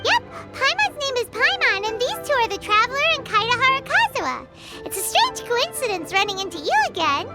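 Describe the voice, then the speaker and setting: A woman voicing a small, chirpy, eager fairy companion in a video game, with a squeaky childlike voice and an exclamatory, talkative manner. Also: very animated, fairly quick, loud music behind the voice, full character voice. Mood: cheerful. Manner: refers to herself in third person, exclamatory.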